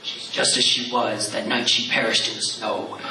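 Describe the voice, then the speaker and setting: Gravelly sly troublemaker, far off and echoey, music behind. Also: male voice, medium pitch, level tone, normal speed, pushed and bright. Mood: spooky. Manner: sly, goading. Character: sly scheming male villager. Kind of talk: 1940s radio acting. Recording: roomy distant old radio recording with music.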